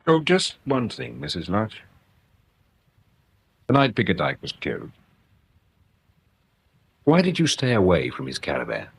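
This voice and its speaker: An actor with an English accent playing a polite, quietly persistent police inspector in a radio crime drama, speaking with deliberate, courteous hesitation. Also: male voice, on the low side, huge pitch swings, gravelly, breathy edge, exaggerated voice. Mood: quietly probing.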